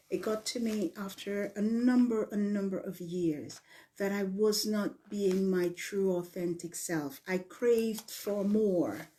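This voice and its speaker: A middle-aged woman from Guyana who has lived in the UK, presenting a coaching talk in deliberate phrases, repeating words for emphasis. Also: mid-range voice, mellow. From Guyana, later living in the UK. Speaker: leadership coach presenting a challenge. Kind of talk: live online coaching talk from slides.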